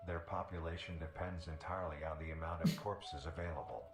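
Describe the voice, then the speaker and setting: A man reading scripted documentary narration in a measured, formal, detached manner. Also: deep voice, steady tone. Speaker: narrator of a mock nature documentary video. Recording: roomy echo with music. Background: music.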